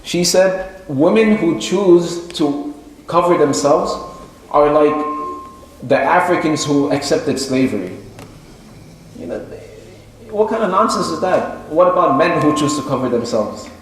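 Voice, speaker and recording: A man with a fairly high voice teaches in a sermon style. He feels his way through explanations, then drives points home with deliberate repetition. The sound is heavily echoing, with a little noise underneath. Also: expressive. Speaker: Islamic teacher giving a religious lecture. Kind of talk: sermon-like lecture retelling and explaining a religious story. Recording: very echoey room with faint noise.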